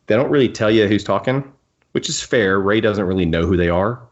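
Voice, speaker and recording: A man's voice: fairly low pitch, expressive, brisk. A man chatting casually and keenly as a podcast co-host about fan details. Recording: dull, lo-fi microphone sound.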